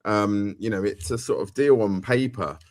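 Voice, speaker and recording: Very deep-voiced, hesitant man, dry sound. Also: normal speed, no room sound. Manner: hesitant, many fillers and restarts. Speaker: panelist. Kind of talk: live panel discussion.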